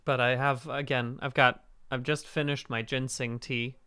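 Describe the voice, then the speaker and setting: Bright-voiced man, slightly hoarse, rambling. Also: flat delivery, moderate pace, dry recording. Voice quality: hoarse, raspy low register. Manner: rambling, fills space with talk. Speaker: streamer on a charity game stream. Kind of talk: casual chat while gaming.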